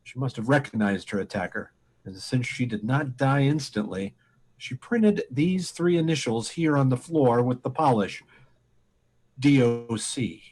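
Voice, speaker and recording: A man playing a calm, methodical police inspector in an old-time radio mystery, deliberate and probing. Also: medium pitch, close to an everyday voice, low-quality recording.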